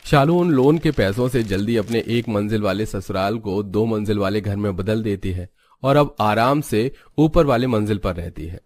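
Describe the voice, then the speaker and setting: A man reads a scripted cartoon story as its narrator. His voice sits on the low side, and he speaks as a storyteller. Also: moderate pace, a little mellow, slight background noise.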